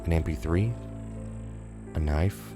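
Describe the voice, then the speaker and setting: A man's voice: extremely low pitch, takes it slowly, mellow, soft background music. A man reading a suspense horror story as scripted narration.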